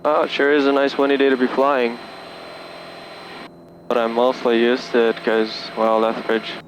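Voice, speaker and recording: Man, even-toned, over cockpit drone. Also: medium pitch, normal speed, low-quality recording. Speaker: student pilot narrating a solo flight. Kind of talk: casual in-cockpit vlog chat and radio calls. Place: small airplane cockpit in flight. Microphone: cable-fed in-cockpit headset audio. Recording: cockpit audio with steady engine noise. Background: light aircraft engine drone.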